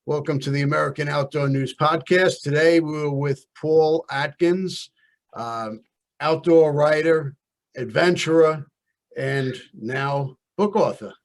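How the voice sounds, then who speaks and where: A man's voice: on the high side, slow pace. Easygoing male podcast host. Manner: relaxed, joking, mild swearing. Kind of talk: casual interview.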